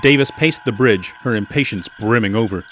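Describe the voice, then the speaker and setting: A man's voice: lowish voice, fairly quick, a bit bright, slight background noise. A man reading scripted story narration as the narrator of a sci-fi audio drama.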